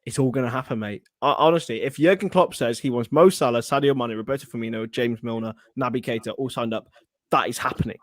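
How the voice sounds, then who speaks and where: A man's voice: medium pitch, quick pace, no echo. Rambling English male co-host, dry sound. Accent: non-Scouse English. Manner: rambling, many restarts, laughs easily. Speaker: podcast co-host. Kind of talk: casual podcast chat.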